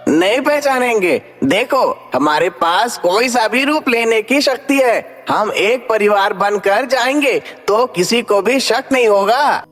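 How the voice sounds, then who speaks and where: A performer voicing a confident, scheming shape-shifting ghost in an animated story. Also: mid-range voice, slow, hardly any pauses. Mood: confident, plotting. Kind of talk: animated Hindi story dialogue. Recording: long hall reverb, music underneath.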